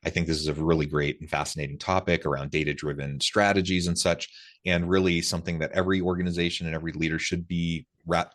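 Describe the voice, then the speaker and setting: A man hosting a podcast interview in a conversational way, wordy and often doubling back on his words. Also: very deep voice. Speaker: podcast host.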